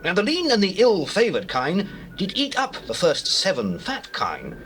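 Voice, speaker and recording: A man's voice: high voice, huge pitch swings, moderate pace, fairly bright tone, heavily acted. An actor with a British accent playing a troubled, commanding king of Egypt in a declamatory scripture drama. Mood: troubled, intense. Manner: declamatory, theatrical. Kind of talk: dramatized scripture acting in archaic English. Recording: noisy old cassette tape.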